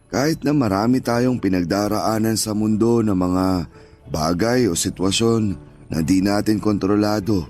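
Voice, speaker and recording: A man playing a kind, humble older Filipino street vendor and father, speaking gently and earnestly in a radio drama. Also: fairly low pitch. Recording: music bed under the voice.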